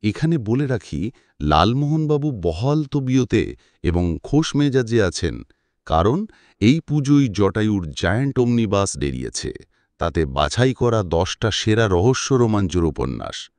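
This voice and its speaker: Male story narrator. Animated, dramatic, wide-ranging pitch, very dry recording. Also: measured pace, no echo. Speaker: audiobook narrator.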